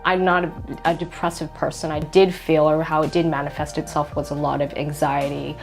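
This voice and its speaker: A woman telling her story in a lively way in a documentary interview. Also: sits low, brisk, creaky. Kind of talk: documentary interview, personal testimony. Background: faint music.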